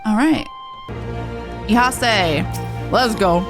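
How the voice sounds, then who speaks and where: A woman's voice: mid-range voice, very slow. A woman streaming a game, playfully commenting and reading dialogue aloud in a casual style. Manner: playful, joking. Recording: music behind the voice.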